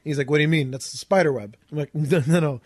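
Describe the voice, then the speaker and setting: A man's voice: fairly high pitch, quick pace, no echo, studio quality. A young man telling stories in a casual conversation, acting out quoted exchanges and swearing casually. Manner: animated retelling with acted-out quotes and casual swearing.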